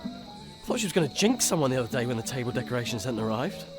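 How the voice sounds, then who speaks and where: A man's voice: medium pitch, very animated, quick pace, natural voice. A young man acting a chatty, down-to-earth English teenage wizard with a casual, wry manner, in game cutscene dialogue. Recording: loud music behind.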